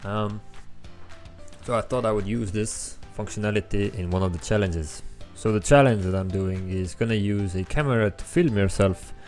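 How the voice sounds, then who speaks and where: A young man with an Estonian accent, his first language Estonian, walks through a coding tutorial in a relaxed way, with frequent ums as he thinks aloud. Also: on the low side, normal speed. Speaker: app developer tutorial host. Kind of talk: screen-recorded coding tutorial. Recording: faint background music.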